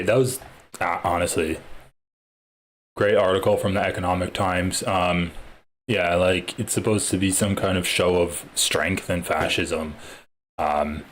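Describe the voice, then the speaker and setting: A man's voice: low-pitched, slight background noise. A man with a Midwestern American accent hosting a casual political livestream, sarcastic and full of swearing. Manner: profane, mocking, sarcastic.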